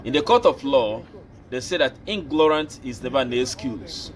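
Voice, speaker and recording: A Nigerian man hosting an opinion show, speaking slowly and deliberately, like a preacher. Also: medium pitch. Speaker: commentary host. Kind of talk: opinion commentary.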